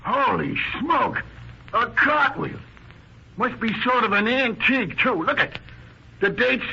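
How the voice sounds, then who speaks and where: Casting: slow-witted henchman, naive slangy talk, vintage radio crime drama, faint noise. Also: very high-pitched, lively intonation, vocal fry, sharp tone, full character voice. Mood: naive, impressed. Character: dim, slangy gangster henchman, naively impressed. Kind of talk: 1930s radio gangster acting. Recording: dull, faintly noisy 1930s radio recording.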